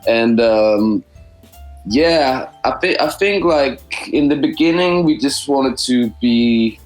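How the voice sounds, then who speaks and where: A musician speaking English, a man giving halting, reflective interview answers full of restarts. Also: medium pitch, animated, faint music behind, rough recording. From Denmark. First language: Danish. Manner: halting, many restarts and repeats. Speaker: musician guest.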